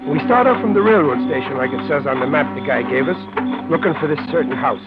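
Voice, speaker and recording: Through a tinny, narrow old radio broadcast with clear music behind comes a man acting a higher-voiced American tough guy. He is wary and slangy, stumbling over his words like a bumbling streetwise fellow in a 1940s comedy adventure. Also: lively intonation, fast talker, close to an everyday voice. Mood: wry narrating. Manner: hesitant, stammering, streetwise slang.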